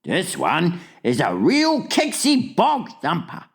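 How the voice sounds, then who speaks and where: A woman voicing an excitable, kindly male giant in a storybook, with quaint mangled grammar and emphatic, drawn-out exclamations. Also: fairly low pitch, creaky, voice pushed up, full character voice. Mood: grim, agitated. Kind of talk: storybook character voice in a dramatic reading.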